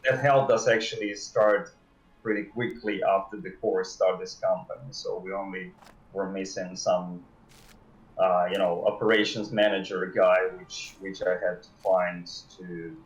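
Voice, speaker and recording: A man being interviewed as a game developer on a casual livestream, speaking in halting, hesitant phrases. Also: low voice, little pitch movement. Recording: dull, roomy sound.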